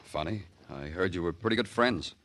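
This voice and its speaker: An actor playing a brisk, insistent Manhattan homicide detective in a 1950 radio crime drama, with a clipped, businesslike manner. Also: male voice, sits low, animated, fairly bright tone. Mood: probing, firm. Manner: clipped, businesslike, pressing questions.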